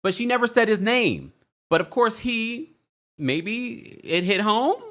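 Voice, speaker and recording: A man hosting a casual celebrity news stream, chatty and animated, with a deep voice and frequent laughs. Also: slow. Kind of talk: casual commentary livestream.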